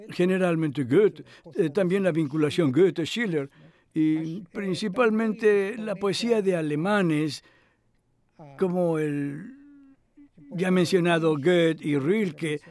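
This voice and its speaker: Older scholar guy, high voice, thoughtful interview dub, bit hesitant. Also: slow pace, a little mellow. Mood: reflective. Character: cultured older male scholar reminiscing about studies. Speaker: voice actor dubbing an interviewee. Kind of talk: voice-over dub of a conversational interview.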